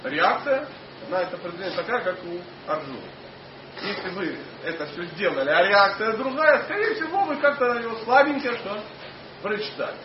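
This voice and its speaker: Halting foreign-accented man lecturing in a hall. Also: high voice, lively intonation. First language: not Russian. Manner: halting, many fillers and restarts, asks the audience questions. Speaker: lecturer on scripture. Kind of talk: informal religious lecture to an audience. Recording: echoey hall, mic at a distance, dull sound, noisy.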